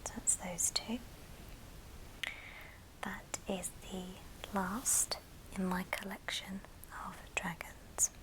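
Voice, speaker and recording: A woman hosting an ASMR show and tell in a soft, breathy whisper, speaking gently in short phrases. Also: medium pitch, fairly even intonation, takes it slowly, long pauses, poor audio. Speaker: ASMR video host.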